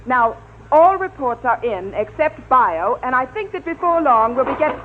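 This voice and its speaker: A woman playing a brisk, stern space expedition commander in an old radio drama, formal and authoritative. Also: high-pitched, big pitch swings. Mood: businesslike. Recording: muffled, hissy vintage radio recording.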